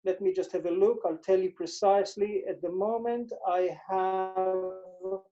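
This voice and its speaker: A man's voice: sits high, steady tone, unhurried, dark tone, muffled recording, faint music behind. A man from Israel with an Israeli accent, a financial advisor explaining his methods in a casual interview and repeating words for emphasis.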